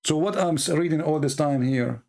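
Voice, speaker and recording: A man's voice: on the high side, normal speed, vocal fry, a little mellow. A middle-aged man with an Arabic accent and broken English, hosting a heated, mocking religious debate monologue.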